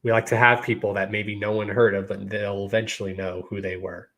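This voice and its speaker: A man's voice: lowish voice, little pitch movement, brisk, a bit bright. A man in his thirties hosting his own podcast, talking casually to viewers and filling his speech with "you know" and "y'all.". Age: 30s. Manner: laid-back, repeats "you know" and "y'all". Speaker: podcast host.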